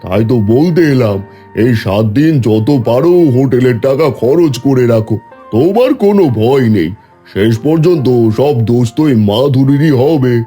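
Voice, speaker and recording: A man's voice: medium pitch, expressive, moderate pace, dark tone, lightly acted. A man playing a scheming neighbour in a cartoon dub, coaxing and conspiratorial as he gives crooked advice. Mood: sly, reassuring. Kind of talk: Bengali cartoon dubbing. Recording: music behind the voice.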